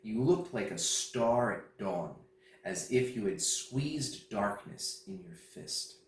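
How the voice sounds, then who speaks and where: A man's voice: mid-range voice, very animated, takes it slowly. A man reciting poetry as a reader at a festival. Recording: roomy echo, distant mic.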